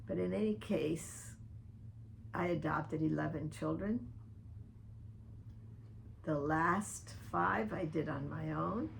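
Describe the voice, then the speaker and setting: A woman's voice: deep voice, slow pace, creaky, pauses often, a little hiss or hum, low-quality recording. An older woman from the Dominican Republic, speaking straight to one listener by name in an earnest, pointed personal video message. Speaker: vlogger addressing a public figure. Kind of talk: personal open-letter video monologue.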